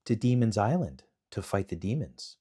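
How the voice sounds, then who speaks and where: A man's voice: lowish voice, sing-song, moderate pace, dark tone, slightly rough. A man voicing a brave young hero boy in a storybook, answering plainly and firmly. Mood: steady. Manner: plain, firm replies.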